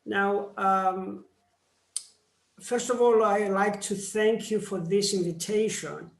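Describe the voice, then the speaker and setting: A man with a Greek accent, a member of parliament, giving a formal seminar speech in a slow, measured way with pauses. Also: high voice, steady tone, gravelly, reverberant.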